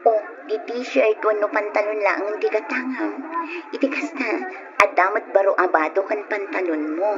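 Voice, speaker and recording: A woman's voice: medium pitch, moderate pace, over music, close to an everyday voice. An actress playing a practical young Filipino woman dissatisfied with her poor boyfriend in a radio drama. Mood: teasing, casual. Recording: muffled noisy old radio recording.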